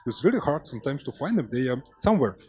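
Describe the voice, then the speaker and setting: Husky-voiced man on a panel. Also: mid-range voice, big pitch swings, fast, dark tone. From Russia. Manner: thinking aloud, loose and conversational. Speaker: agile trainer and company head. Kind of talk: conference panel answers. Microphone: handheld microphone.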